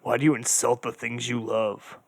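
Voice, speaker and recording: A man playing a sarcastic, morose undead sidekick with dry, deadpan muttering in a comedic audio drama. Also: medium pitch, normal speed, lightly acted. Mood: dry, weary.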